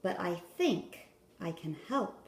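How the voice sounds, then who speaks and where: A woman's voice: on the low side, big pitch swings, slow, very noisy, full character voice. Woman voicing a kind old storybook lady, echoey room. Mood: kindly. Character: kind plump old woman, gentle, sweet helper.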